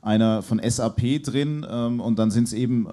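A man's voice: mid-range voice, normal speed, warm tone. A man speaking as a journalist guest on a live football panel, hesitant and full of fillers, into a handheld mic on a pub stage.

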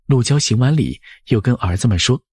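Husky, animated male audiobook narrator. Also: medium pitch, fairly quick, no echo, clean studio sound. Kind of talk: expressive scripted storytelling.

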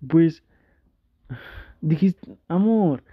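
A man with a Mexican accent playing a flustered, easily tempted gamer boyfriend in an intimate ASMR roleplay, talking in a halting, coaxing way. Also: on the high side, no room sound, highs cut off. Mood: dismayed, pleading.

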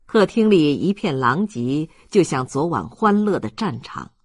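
A man's voice: on the high side, very animated, measured pace, no echo, clean studio sound. A man narrating a literary audiobook in a dramatic storytelling style.

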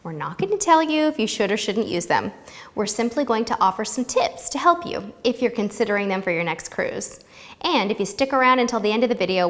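A woman's voice: medium pitch, fairly bright tone, long reverb tail. An American woman in her thirties presenting scripted tips to camera in a light-hearted, playful way on a lapel mic. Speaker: host of a travel tips video series.

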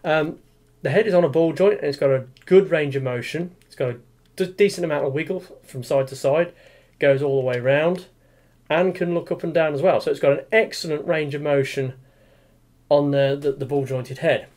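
A man's voice: on the high side, normal speed, darkish tone. A man, casually reviewing a toy in a small room, rambling with lots of fillers and restarts. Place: small room with display cabinet, indoors.

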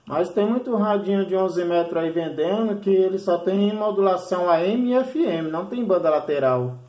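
A man's voice: high-pitched, normal speed, darkish tone. A man in casual radio chat, explaining things colloquially and restating his points. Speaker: amateur radio operator. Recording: echoey room recording.

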